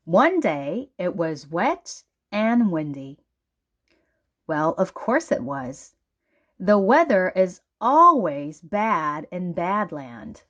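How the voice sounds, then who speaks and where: A woman narrates a children's book aloud at a very slow, deliberate pace. Her intonation swings in an animated way, with dramatic emphasis on key words. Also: fairly low pitch, dull audio. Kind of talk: storybook read-aloud narration.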